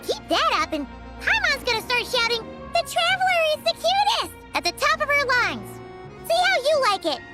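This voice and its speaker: A woman voicing a tiny, excitable, cheeky fairy girl with a squeaky childlike voice in a video game. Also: normal speed, exaggerated voice. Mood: flustered, huffy. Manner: refers to self in third person, exclamatory. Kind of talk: animated video game voice acting. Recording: loud music behind the voice.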